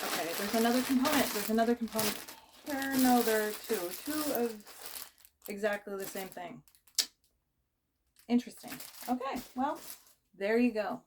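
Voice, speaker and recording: A woman's voice: mid-range voice, background noise. A young woman with a cold chatting casually as she unboxes items, rambling with many fillers and restarts. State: has a cold, losing her voice. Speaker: vlogger.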